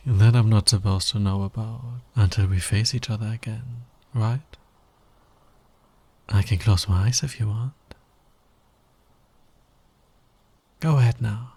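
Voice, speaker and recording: Man acting a husky, low-voiced flirty villain. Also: a little mellow. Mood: teasing, amused. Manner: smug, playful, drawling, teasing. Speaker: voice actor in audio roleplay. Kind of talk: flirty ASMR roleplay acting.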